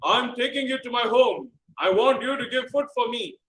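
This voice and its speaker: A man's voice: extremely high pitch, normal speed, reverberant, rough recording. A man voicing a sneaky, cowardly male robber who whines and pleads. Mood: hushing. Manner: whining, pleading, hushing.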